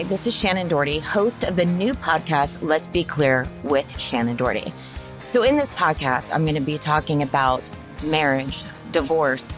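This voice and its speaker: Woman, podcast promo, low voice, measured, music bed behind. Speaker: podcast host promoting her show. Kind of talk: scripted promo read.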